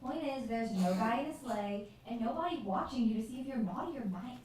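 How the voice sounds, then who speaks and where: Woman acting bully schoolgirl, high voice, taunting slangy delivery, stage radio drama, echoey theatre. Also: expressive, fairly quick, darkish tone, slight background noise, lightly acted. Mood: scornful. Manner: taunting, slangy, dropped-g street-kid talk. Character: mean, mocking schoolyard bully girl. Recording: echoey theatre, far from the mic.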